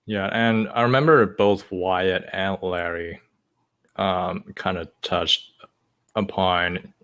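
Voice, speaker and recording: Deep-voiced young man. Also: fairly even intonation, fairly bright tone. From China. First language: Chinese. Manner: measured, halting, pausing often to think. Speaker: podcast co-host. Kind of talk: casual podcast conversation.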